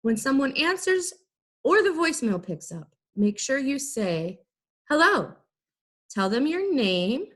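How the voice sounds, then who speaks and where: A woman teacher giving an instructional lesson, explaining things in clear, simple, step-by-step phrases. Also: sits high, sing-song, unhurried, a bit bright. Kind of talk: instructional video lesson for students.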